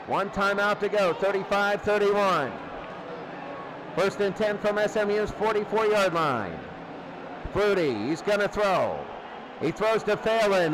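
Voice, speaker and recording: A man doing play-by-play radio-style football commentary in short, clipped, repeated phrases. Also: sits high. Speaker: play-by-play sports announcer. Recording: noisy recording.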